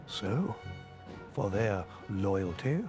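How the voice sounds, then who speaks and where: A wise, elderly headmaster in a video game, giving a ceremonial speech. He speaks slowly and deliberately in a lowish voice, with music swelling behind him. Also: lively intonation. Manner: measured, ceremonial address.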